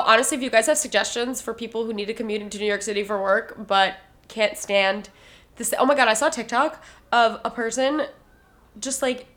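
A woman's voice: fairly high pitch, pushed and bright. A woman co-hosting a podcast in casual chat, with a stuffy, nasal voice, talking freely and laughing often. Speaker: podcast co-host. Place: lives in New York City.

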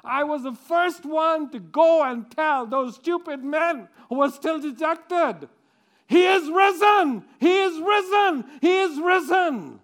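Mary Magdalene, a devoted woman disciple, as a man acts her out in a church monologue, speaks in a slow, drawn-out way. The voice sits high for a man, and the story keeps circling back on repeated phrases. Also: fairly bright tone, heavily acted. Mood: exultant. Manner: dramatic first-person storytelling with repetition. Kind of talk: dramatic monologue for a congregation.

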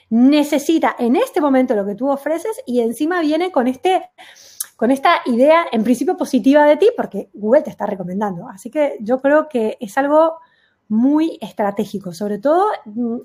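A woman working as an SEO consultant, explaining emphatically in a casual conversation and reaching for quick everyday examples. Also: high voice, darkish tone.

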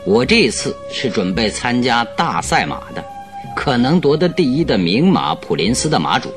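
A man plays a confident radio-drama detective. His mid-pitched voice swings in lively fashion as he expounds with courteous authority, over a light music bed. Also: moderate pace, natural voice. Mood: confident, expository. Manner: masterful, courteous, expository. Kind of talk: Chinese radio drama acting. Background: background music.

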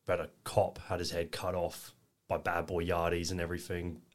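Low-voiced man in his twenties. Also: flat delivery, normal speed. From England. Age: 20s. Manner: easygoing, rambling, lots of "you know". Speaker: guest. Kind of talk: casual interview chat.

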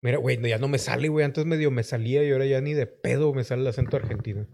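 A man's voice: medium pitch, racing, creaky, darkish tone. Crude joking Mexican streamer man. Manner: crude, joking, rambling with constant slang. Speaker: streamer host. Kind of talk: casual live-stream banter.

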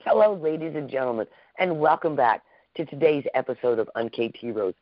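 A man's voice: medium pitch, lively intonation, brisk, dry recording. Gushy podcast host guy, mid voice, dry and kinda muffled. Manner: effusive, warm-worded, repeats himself. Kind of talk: upbeat interview hosting. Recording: dull lo-fi call audio.

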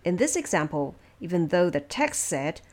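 A woman presenting explanatory production notes from a script in a measured, instructive way. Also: on the low side, big pitch swings, a little mellow. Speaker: audio drama producer and presenter.

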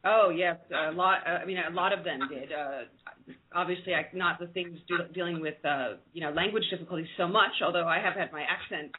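A woman's voice: lowish voice, a little fast. A woman from the United States with an American accent answers reflectively as co-editor of a book. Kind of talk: radio interview answers. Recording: phone-like line with faint noise.

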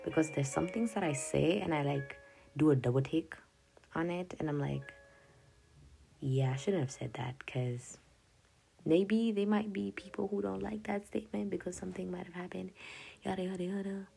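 A woman's voice: on the low side, moderate pace, creaky, mellow. A young woman whose first language is Swahili, rambling through her journal notes in a casual podcast. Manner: rambling, self-interrupting, with frequent laughs and "yada yada". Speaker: podcast host. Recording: faint music behind the voice.

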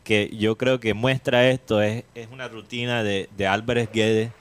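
A man's voice: lowish voice, flat delivery, moderate pace, clear, resonant tone. A man with a Cuban accent, co-hosting a casual chat show and telling stories in a playful, joking way. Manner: playful storyteller, given to jokes. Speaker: co-host.

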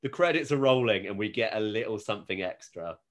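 A man's voice: fairly low pitch, expressive, fairly quick. A British man hosting a podcast, chatting casually and with amusement as he recaps a TV show. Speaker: podcast host.

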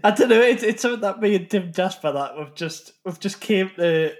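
A man's voice: high voice, expressive, a little fast. A man chatting casually as a podcast guest, feeling his way through phrases with repetitions. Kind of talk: casual chat.

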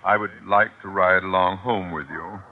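An American actor playing a middle-aged, pompous and suspicious school principal, formal and blustering, in a vintage radio comedy. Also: male voice, low voice, fairly even intonation, normal speed, lightly acted. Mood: pompous insistence. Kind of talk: 1940s-50s American radio comedy acting. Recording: muffled, hissy old radio recording.

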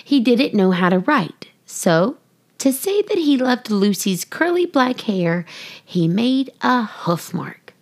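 A woman's voice: on the high side, sing-song, slow pace. A woman hosting and reading a children's picture book, speaking expressively and directly to young listeners. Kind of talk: animated children's storytelling.

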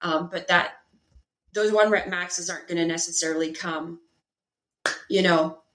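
A woman explaining fitness in a casual, animated way, with many fillers and rhetorical questions. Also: fairly low pitch, unhurried. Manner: animated, lots of filler words and rhetorical "right?". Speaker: pelvic health and fitness expert guest. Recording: echoey room.

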